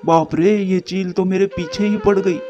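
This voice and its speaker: A man's voice: high voice, steady tone, normal speed, natural voice. A man voicing a caring, anxious father pigeon devoted to his child in a moral-story cartoon, on a muffled track. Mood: frightened. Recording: dull muffled studio track, music behind.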